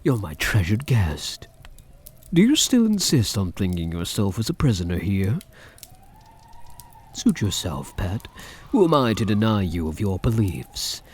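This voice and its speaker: Guy doing a possessive vampire count for asmr roleplay, soft and husky, teasing, voice swoops up and down a lot. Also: fairly low pitch, big pitch swings, full character voice. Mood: smug, teasing. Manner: teasing, deliberate, intimate, menacing. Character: possessive, seductive male vampire count toying with captive.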